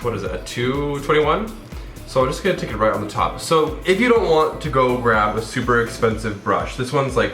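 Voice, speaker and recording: A man's voice: medium pitch, fairly bright tone. Young male vlogger with a blocked nose, casual and rambling, recorded in an echoey room. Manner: chatty, rambling, many fillers. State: stuffed up from a cold. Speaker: makeup vlogger. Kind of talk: casual tutorial talk. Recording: roomy echo.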